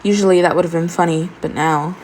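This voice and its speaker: Female fan fiction narrator, slightly low voice, expressive amateur storytelling, some background noise. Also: fast, rough recording.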